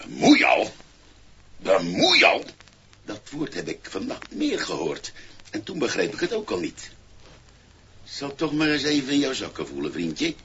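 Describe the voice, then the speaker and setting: An actor playing a pompous, blustering, slow-witted village policeman in a 1960s comic radio play, officious in manner. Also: on the high side, bright, forceful tone, full character voice. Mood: suspicious. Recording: muffled, noisy 1960s vinyl single.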